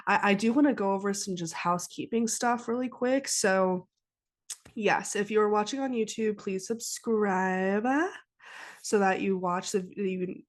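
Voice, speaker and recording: Young adult female podcast host, congested with a cold, casual rambling solo chat. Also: mid-range voice. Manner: chatty, rambling, with frequent ums and restarts. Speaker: podcast host and psychic medium.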